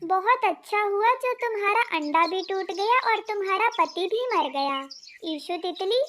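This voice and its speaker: A woman's voice: very high-pitched, expressive, unhurried, fairly bright tone, a little hiss or hum, exaggerated voice. A woman voicing a jealous young butterfly sister in a children's cartoon, with a plaintive, dramatic manner. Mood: bitter, spiteful. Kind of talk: Hindi children's cartoon dialogue.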